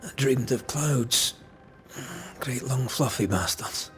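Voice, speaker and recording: An actor playing a roguish, gruff, plain-spoken ghost-hunter with a Scottish accent and a wry, teasing manner in a game. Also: male voice, mid-range voice, takes it slowly, faint music behind, natural voice. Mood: wry, affectionate. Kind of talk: game cutscene dialogue.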